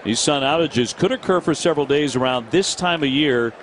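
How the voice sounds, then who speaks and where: Baseball announcer over ballpark noise. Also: male voice, fairly high pitch. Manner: brisk, conversational, reads promos. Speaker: play-by-play announcer. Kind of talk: baseball broadcast commentary. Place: ballpark broadcast booth.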